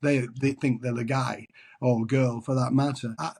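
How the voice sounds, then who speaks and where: Husky man. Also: medium pitch, fairly even intonation, normal speed, a little mellow. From England. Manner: self-deprecating, rambling storyteller with many restarts. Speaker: tribute singer guest. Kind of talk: casual interview chat.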